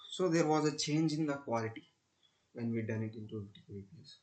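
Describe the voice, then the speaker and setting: A young man speaks with an Indian accent at an average male pitch. He presents a technical demonstration in the manner of a student, explaining step by step haltingly and often repeating his phrases. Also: a little mellow. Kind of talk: screen-recorded technical demonstration.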